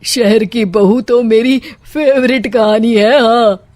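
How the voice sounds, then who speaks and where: Guy doing a scheming scaredy middle-aged sasur from a cartoon story, flustered exclaiming, slow delivery. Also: extremely high pitch, fairly even intonation, darkish tone, no room sound. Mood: anxious coaxing. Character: cowardly, scheming middle-aged father-in-law, comically flustered.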